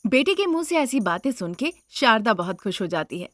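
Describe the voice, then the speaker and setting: A woman's voice: a little high, sing-song, brisk, fairly bright tone, no room sound, clean studio sound. A woman narrator reading a scripted cartoon story as a storyteller.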